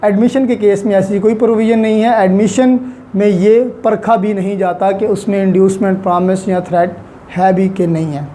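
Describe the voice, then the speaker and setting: A woman with a Pakistani accent giving an explanatory law lecture, deliberately and with repeated key points. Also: medium pitch. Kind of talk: explanatory lecture mixing in English legal terms. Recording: faint steady background noise.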